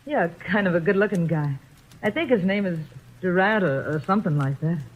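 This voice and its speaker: A woman's voice: on the low side, expressive, a little fast. A nervous young woman. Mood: wary. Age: young adult. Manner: wary, anxious replies. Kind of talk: 1940s radio acting. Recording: dull old radio recording.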